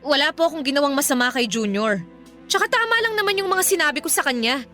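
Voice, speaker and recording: High, animated young Filipino mother. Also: fast, faint music behind. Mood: defensive. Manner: plaintive, polite but protesting. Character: put-upon young mother, weary yet defensive. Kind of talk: Filipino radio drama acting.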